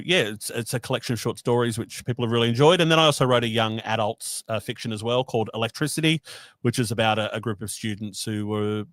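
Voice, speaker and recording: A man's voice: fairly low pitch, fairly bright tone, dry recording. Guest, male, broad Western Sydney Australian accent, chatty, dry close sound. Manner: chatty, explains at length with fillers. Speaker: guest, author and radio host. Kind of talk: casual video-call interview. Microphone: video call.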